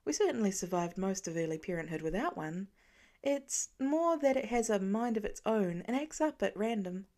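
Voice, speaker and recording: A woman voicing a warm, teasing mother of young children in a story reading. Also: mid-range voice, animated, moderate pace, lightly acted. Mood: wry, grateful.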